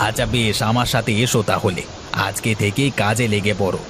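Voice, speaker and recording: A man voicing a brisk, friendly boss who hires day labourers, talking colloquially in an animated folk tale. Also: lowish voice, noisy background, lightly acted. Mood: brisk, businesslike. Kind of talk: animated moral fairy tale dubbing.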